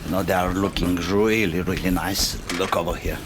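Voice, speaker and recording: Deeper-voiced non-native English man, DIY how-to. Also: steady tone, moderate pace, background noise. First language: not English. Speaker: DIY tutorial host. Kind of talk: casual hands-on how-to narration. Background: music.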